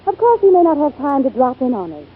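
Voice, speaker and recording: An actress playing a vivacious, sharp-tongued young stage singer in 1940s radio style, arch and playful, with a husky voice. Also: female voice, high voice, big pitch swings, quick pace. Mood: sarcastic. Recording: tinny 1940s radio broadcast.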